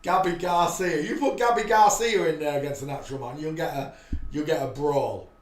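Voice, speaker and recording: A man talks in a rambling, preachy monologue, with his voice sitting a little higher than usual for a man. He restarts his sentences often and sometimes breaks into louder bursts. The echo of the room surrounds everything he says. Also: fairly quick. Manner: preachy, rambling, with frequent restarts and loud outbursts. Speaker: livestream host. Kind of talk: rambling live-stream monologue.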